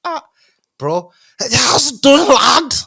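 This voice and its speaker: Comic podcast impression: chirpy British lad played by a man, exaggerated Scouse-style accent, high bright voice, matey and brash. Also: very animated, slow, vocal fry, breathy edge, full character voice. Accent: put-on English, Scouse.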